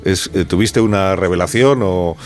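Low-voiced male radio host. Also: moderate pace. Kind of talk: radio interview.

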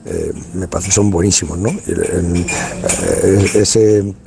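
A man's voice: deep voice, steady tone, slow, gravelly, noisy background. A man from Spain, organizer of a youth football tournament, talking in a casual radio interview, colloquial and full of restarts and tag questions.

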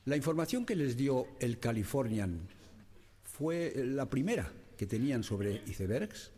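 An actor playing a formal, insistent examiner at an official inquiry in a radio drama, with a husky voice. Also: male voice, mid-range voice, sing-song, measured pace, warm tone. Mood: stern, probing. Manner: formal, probing, insistent questioning. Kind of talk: radio drama courtroom questioning.

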